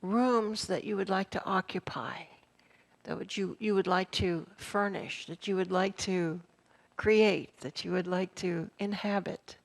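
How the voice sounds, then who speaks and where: A woman minister guiding her congregation through a sharing exercise, speaking in a gentle, encouraging way. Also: fairly low pitch, unhurried, creaky, poor audio. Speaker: minister leading a church service. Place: church sanctuary.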